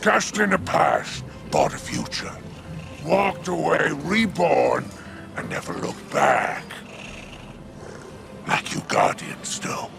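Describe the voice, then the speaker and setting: An actor voicing a smug, menacing alien crime boss in a video game, drawling and insinuating in a gravelly growl. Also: male voice, a little high, lively intonation, slow pace, long pauses, music in the background, exaggerated voice. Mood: sly, menacing. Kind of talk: video game cutscene monologue.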